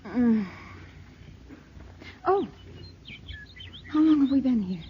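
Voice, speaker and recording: A young woman playing a gentle, frightened heroine in a 1950s radio drama, speaking softly and wistfully. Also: a little high, lively intonation, very slow. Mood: uneasy. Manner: soft, wistful. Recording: muffled old radio broadcast with faint hiss.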